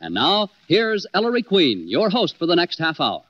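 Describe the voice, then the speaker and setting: A man's voice: sits high, huge pitch swings, normal speed. An American man reading a formal, declamatory scripted introduction as a 1940s radio announcer. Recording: muffled 1940s radio recording with organ.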